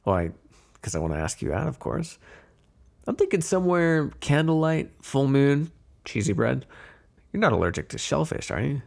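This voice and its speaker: A smooth-talking mob boss played by a man in an ASMR roleplay, teasing and quietly threatening. The voice has a gravelly creak and swings freely up and down in pitch. Phrases are drawn out with flirtatious insinuation. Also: big pitch swings, normal speed. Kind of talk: ASMR roleplay voice acting, one-sided dialogue.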